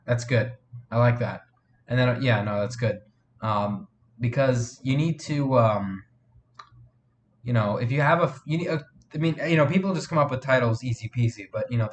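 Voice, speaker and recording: A man's voice: medium pitch, slight background noise. A sniffly man hosting a casual live stream, rambling with lots of fillers and restarts as he answers viewer chat. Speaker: live streamer and fan film maker.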